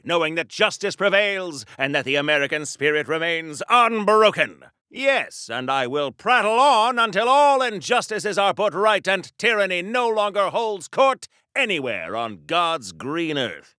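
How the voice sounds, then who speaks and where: A man's voice: a little high, pushed and bright, clear, resonant tone, dry recording, clean studio sound, heavily acted. A man voicing a pompous, earnest golden-age comic-book patriotic hero for a game, declaiming theatrically in an old-time heroic serial style.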